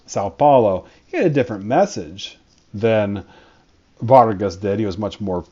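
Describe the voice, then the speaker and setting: A man's voice: fairly low pitch, expressive, unhurried, mellow, slightly rough, muffled recording. A man, a history professor, giving a conversational college lecture with frequent fillers and restarts.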